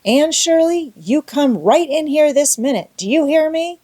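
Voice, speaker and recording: A woman's voice: high voice, expressive, measured pace, mellow, dry recording, clean studio sound, pitched above the performer's own voice. A woman voicing a stern, practical middle-aged farm woman in an audiobook, brusque and no-nonsense. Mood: sharp, impatient. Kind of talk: audiobook character voice.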